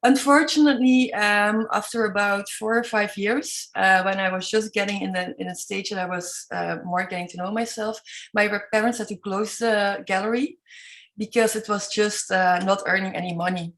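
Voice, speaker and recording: A woman's voice: mid-range voice, steady tone, moderate pace, fairly bright tone. Hesitant Belgian woman telling her story. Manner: hesitant, many ums and self-corrections, non-native English. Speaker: artist guest. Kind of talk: interview storytelling.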